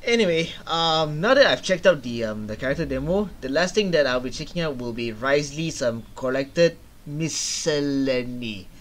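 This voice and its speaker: A young man with a slightly high-pitched voice talks casually, reacting as he goes. He speaks haltingly, often repeating a word before he gets to his point. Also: expressive, slight background noise. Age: young adult. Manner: hesitant, halting, repeats words. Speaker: reaction video host. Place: small room indoors.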